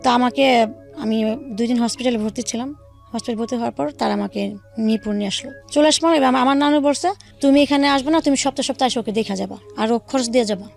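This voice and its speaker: A woman's voice: on the high side, fast talker, vocal fry, darkish tone, soft background music. A woman with a Bangladeshi accent telling her own life story as an interview guest, in colloquial speech with quoted dialogue.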